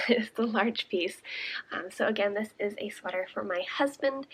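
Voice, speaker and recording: A young woman hosting a video podcast, chatting casually with lots of ums, a bit raspy and congested. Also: medium pitch, a little hiss or hum, rough recording. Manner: chatty, with many ums and uhs. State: congested, a little raspy from smoke. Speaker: podcast host and shepherd. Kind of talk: casual chat to camera.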